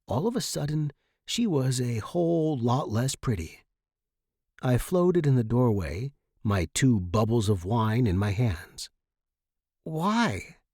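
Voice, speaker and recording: A man's voice: mid-range voice, a little slow, mellow, no echo, clean studio sound. A man narrating first-person fiction from a script as an audiobook reader.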